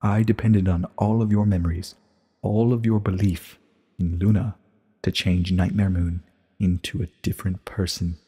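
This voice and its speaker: A man voicing an ancient, regretful pony princess in a dramatic story reading, grave and measured, with a soft husky voice. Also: low-pitched, fairly even intonation, in a large hall. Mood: grave confession.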